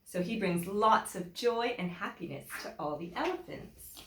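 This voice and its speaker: A woman teacher reading a children's picture book aloud in an expressive, deliberate way, with emphatic phrasing for young listeners. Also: mid-range voice. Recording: echoey room.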